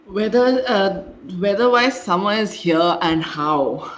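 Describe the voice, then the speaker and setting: A woman from India, co-hosting a casual podcast and telling animated stories with laughs. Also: mid-range voice, slow pace, slight background noise. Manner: animated storyteller, laughs mid-sentence. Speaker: podcast co-host. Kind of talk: casual chat.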